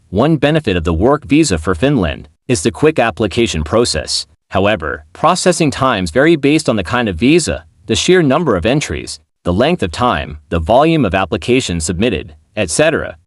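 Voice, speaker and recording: A man's voice: medium pitch, dry recording, clean studio sound. A man narrating an information video, reading a scripted voice-over aloud.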